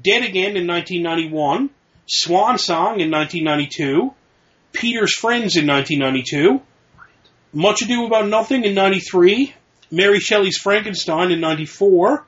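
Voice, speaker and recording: Rambling podcast host with a muffled voice. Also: male voice, sits high, animated, moderate pace, a bit bright. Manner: laughing, rambling, self-correcting. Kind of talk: casual chat, reading notes aloud. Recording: muffled lo-fi recording.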